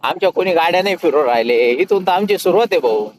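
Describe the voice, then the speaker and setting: A man's voice: sits high, animated, quick pace, a little hiss or hum. A man with a Maharashtrian accent, speaking informally as an election candidate. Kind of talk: informal phone call and spoken public statement.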